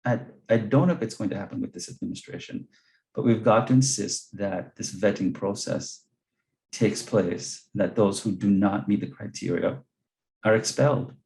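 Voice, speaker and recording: A man's voice: fairly low pitch, moderate pace, vocal fry, darkish tone, low-quality recording. A man from Jamaica with a Jamaican accent, an earnest guest speaker on a video call who repeats words as he searches for them. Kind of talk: webinar talk and Q&A answers.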